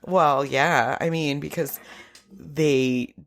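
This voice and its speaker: A woman co-hosting a podcast in casual movie banter, giggly and animated, slipping into mock voices. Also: deep voice, very slow, creaky, a little hiss or hum. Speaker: podcast co-host.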